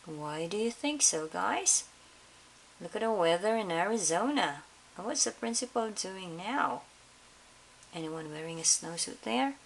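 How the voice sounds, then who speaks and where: A woman reading a picture book aloud to children in an expressive storytelling style, pausing to put questions to her listeners. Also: on the low side, vocal fry, rough recording. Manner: pauses to question young listeners. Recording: faint background hiss.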